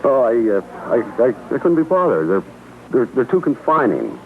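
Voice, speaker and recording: A man giving a casual, halting interview answer, stammering and repeating words. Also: medium pitch, very animated. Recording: thin lo-fi archive audio, clear noise.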